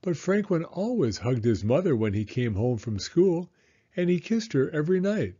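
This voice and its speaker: A man narrating a children's storybook aloud in an expressive, measured storytelling manner. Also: on the high side, slightly rough, dull audio.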